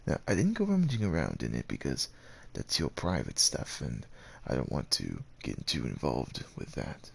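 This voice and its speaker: A devoted werewolf suitor, acted by a man, speaks in an extremely low, husky voice that swoops widely in pitch. He is tender and a little nervous, with courtly old-fashioned phrasing, as in an intimate ASMR roleplay. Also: huge pitch swings, normal speed, few pauses, full character voice. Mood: hesitant, earnest. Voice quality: gravelly, husky, very deep. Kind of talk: ASMR roleplay, acted monologue addressed to the listener.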